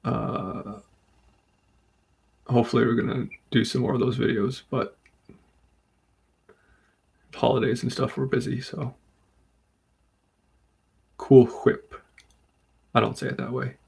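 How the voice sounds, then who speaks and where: A man from Rhode Island hosting a live comic book stream, chatting calmly and steadily while reading comments. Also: medium pitch, creaky, slightly rough. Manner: calm, steady, unhurried. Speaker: livestream host.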